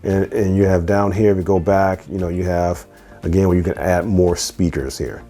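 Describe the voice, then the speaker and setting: A man's voice: deep voice, fairly even intonation, moderate pace, mellow, faint music behind. A man giving a casual, conversational product review as host, explaining things step by step and repeating phrases as he goes.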